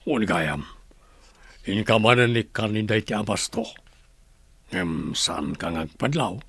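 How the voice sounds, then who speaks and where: A man's voice: on the low side, animated, slow pace, gravelly, a bit bright, breathy edge, dry recording, full character voice. A man playing a bossy, secretive crime boss who gives curt orders in a radio drama. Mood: curt, commanding. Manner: curt, commanding. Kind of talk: Ilocano radio drama acting.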